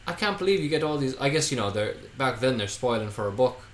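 A man's voice: mid-range voice, animated, quick pace. A man co-hosting a podcast, talking about a movie in a laid-back, wry way with casual swearing. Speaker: podcast co-host. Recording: roomy with faint background noise.

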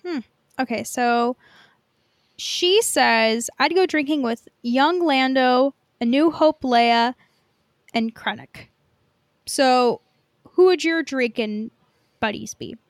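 High-pitched woman, chatty podcast co-host. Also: slow, pauses often, dry recording, clean studio sound. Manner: informal, peppered with "like". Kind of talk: casual fan podcast chat.

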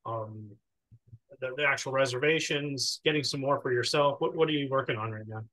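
A man's voice: medium pitch, fairly quick, a bit bright, rough recording. A man hosting a podcast, chatting casually and asking curious, loosely phrased questions in an informal way. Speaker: podcast host. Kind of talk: casual interview chat.

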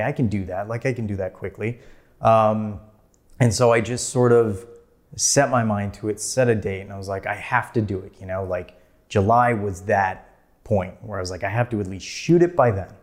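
Thirties male filmmaker guest, lower voice, loose chatty delivery full of fillers. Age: 30s. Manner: rambling, many "like" fillers, laughs easily. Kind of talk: casual interview storytelling.

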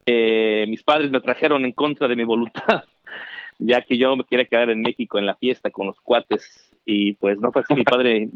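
A middle-aged Mexican man telling his story as an interview guest, animated and chatty with many fillers. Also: medium pitch, normal speed, no echo. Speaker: guest, founder. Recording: dry, dull remote call audio.

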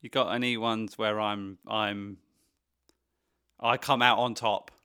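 A man's voice: on the low side, little pitch movement, slow, pushed and bright, smooth. A man chatting casually as an interviewer, with a dry, teasing manner. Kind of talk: casual chat.

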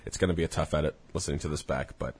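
A man's voice: a bass voice, rapid-fire, breathy edge. A young man hosting a podcast, talking in a casual, earnest way with many hesitations, sounding congested. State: congested, under the weather. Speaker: podcast host.

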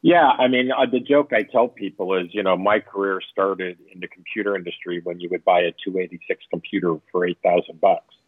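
A man's voice: deep voice, fairly even intonation, brisk, husky, dry recording. A man in his fifties from Southern California, a tech executive answering interview questions, rambling with many fillers. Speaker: guest, tech company executive. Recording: tinny phone-line sound.

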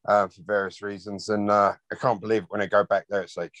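Low-voiced, laid-back man in a dry recording. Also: little pitch movement, quick pace, fairly bright tone, dry recording. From London, United Kingdom. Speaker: interview guest, rock bassist. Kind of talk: casual chat.

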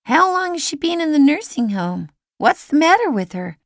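An inquisitive, stubborn young boy from an audiobook, voiced by a woman. He sounds high and forceful, pressing his point in casual, everyday words. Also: big pitch swings, moderate pace, no room sound, clean studio sound. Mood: curious, insistent. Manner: insistent, colloquial.

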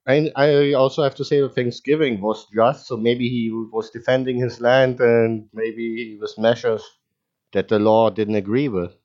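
A man's voice: mid-range voice, fairly even intonation, moderate pace, darkish tone. A man co-hosting a podcast in casual chat, rambling loosely and laughing often. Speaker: podcast co-host. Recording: dull lo-fi call audio.

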